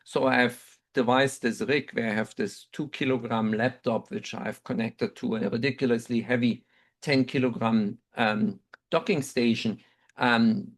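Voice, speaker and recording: A middle-aged man with a German accent and German as his first language, telling a personal story in a measured, reflective way with frequent pauses and ums. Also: mid-range voice. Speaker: webinar speaker, dental researcher.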